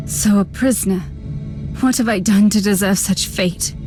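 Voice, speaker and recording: A woman's voice: mid-range voice, moderate pace, music in the background, close to an everyday voice. A woman playing a troubled, resentful young psychic captive in a video game, guarded and wounded in manner. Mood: bitter, resentful. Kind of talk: dramatic video game voice acting.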